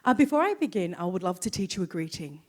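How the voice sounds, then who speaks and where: A woman's voice: lowish voice, sing-song, fast talker. Australian woman giving a ceremonial welcome. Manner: warm, inviting, encourages the crowd to join in. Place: stage in a hall.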